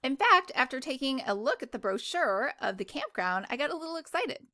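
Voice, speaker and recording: A woman with a fairly high voice reads a story aloud as a book club host. Her delivery is informal and chatty, with small stumbles that she corrects as she goes. The sound is very dry, with no room echo. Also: big pitch swings, fairly quick, sharp tone. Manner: informal, stumbles and self-corrects while reading. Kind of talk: casual read-aloud of a first-person novel with chatty asides.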